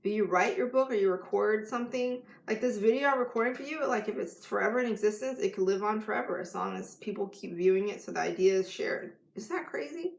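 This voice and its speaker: Chatty gravelly-voiced life coach woman. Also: medium pitch, quick pace, hardly any pauses, roomy sound. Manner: chatty and rambling, with many restarts and filler words. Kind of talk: casual live vlog.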